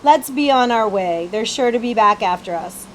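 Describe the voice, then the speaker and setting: A woman voicing a frank, streetwise boy who talks in rough slang in a children's storybook. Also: a little high, expressive, moderate pace, a little hiss or hum, close to an everyday voice. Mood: urging. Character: streetwise, scrappy orphan boy, frank and wry.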